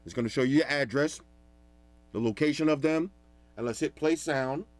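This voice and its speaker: A man giving a casual, animated product review in a loud, talkative voice. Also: fairly high pitch, normal speed. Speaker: tech reviewer.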